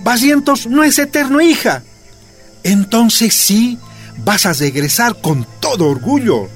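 Older bolivian dad in a radio play, kinda high voice, very expressive, firm but encouraging, faint music. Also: male voice, slow pace, full character voice. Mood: earnest, firm. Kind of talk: radio drama acting. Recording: music behind the voice.

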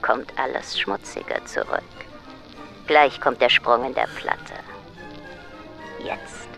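An actor playing a taunting, cryptic anonymous caller in a radio play, theatrical and menacing. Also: male voice, mid-range voice, slow pace, vocal fry, bright, forceful tone, faint music behind, heavily acted, rough recording. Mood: sly, taunting. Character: taunting mysterious anonymous male phone caller, menacing riddles. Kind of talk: German radio play acting.

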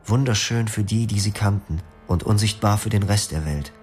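An actor voices a young former psychiatric patient who narrates a radio drama in the first person. The voice is low and the tone is quiet and melancholic. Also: male voice, normal speed, faint music behind, natural voice. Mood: reflective. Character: young male patient recalling his clinic past.

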